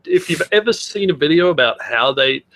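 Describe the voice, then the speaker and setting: A man's voice: fairly high pitch, lively intonation, fairly quick, a bit bright, low-quality recording. A man co-hosting a comedy podcast, chatting casually in a blunt, crude manner. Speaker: podcast co-host.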